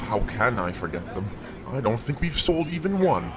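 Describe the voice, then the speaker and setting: A man's voice: medium pitch, sing-song, moderate pace, a bit bright. A man playing a concerned, fretful toy store boss in an audio drama. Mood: concerned, dismayed. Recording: noisy outdoor ambience behind.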